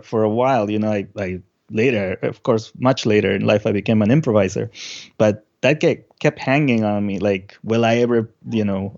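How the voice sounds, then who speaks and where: A male musician from Peru who grew up speaking recounts things in a relaxed interview. His voice sits on the low side and he feels his way through sentences haltingly. The sound is a little dull and lo-fi. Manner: halting, thinking aloud. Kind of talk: casual interview storytelling.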